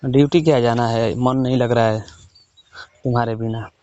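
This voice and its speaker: A man speaks casually on a private phone call in a dark, mellow voice at a middling pitch. He is insistent, handing out instructions and checking for agreement. The recording is dull and lo-fi. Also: fairly even intonation, normal speed.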